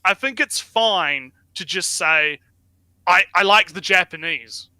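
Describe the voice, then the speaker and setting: A man's voice: high-pitched, expressive, takes it slowly, bright, forceful tone, dry recording. A man hosting a reaction video, chatting casually with frequent repeats, filler words and swearing. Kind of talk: casual opinion chat.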